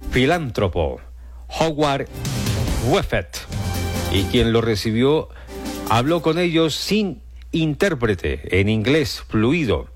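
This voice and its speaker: A man from Colombia hosting morning radio news, reading bulletins with some slips and restarts and repeating the time. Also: mid-range voice. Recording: music bed behind the voice. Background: music.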